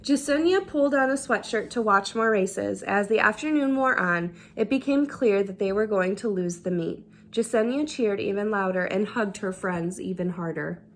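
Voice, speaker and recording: A woman storyteller reading a children's book aloud with expressive, deliberate, dramatic phrasing. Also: medium pitch, a little hiss or hum. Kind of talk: expressive read-aloud narration.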